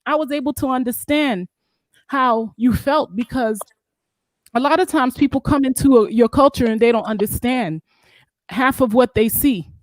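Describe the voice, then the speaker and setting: A playful, animated woman from Haiti talks on a group call and laughs often. Her voice is high. The sound is dry and close, with no room around it. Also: moderate pace, no echo. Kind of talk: casual group discussion.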